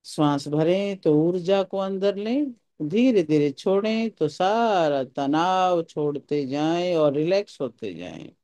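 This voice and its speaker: A meditation teacher giving calm, soothing guided instructions in short phrases with pauses. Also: mid-range voice, no room sound.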